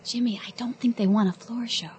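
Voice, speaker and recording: A woman's voice: on the high side, fairly even intonation, brisk, a little mellow, dull audio, a little hiss or hum, natural voice. An actress in a radio drama playing a sensible, slightly exasperated teenage girlfriend with a casual, girlish manner. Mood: wry.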